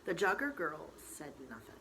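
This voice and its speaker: A woman storyteller reading a novel aloud in expressive, dramatic narration with deliberate emphasis. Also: sits low. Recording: faint background noise.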